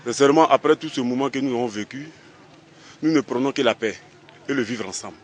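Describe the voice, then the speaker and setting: A man's voice: mid-range voice, fast talker, a little hiss or hum. A man with an Ivorian accent speaking as a youth leader, making an appeal to a news camera outdoors.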